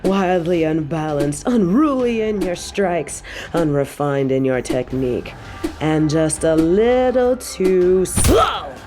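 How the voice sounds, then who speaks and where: A woman's voice: fairly low pitch, expressive, music in the background. A woman playing a possessive, imperious evil queen in an audio roleplay, taunting and haughty. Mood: condescending, taunting. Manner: slow, taunting, imperious, with breathy drops to a whisper. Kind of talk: scripted audio roleplay drama.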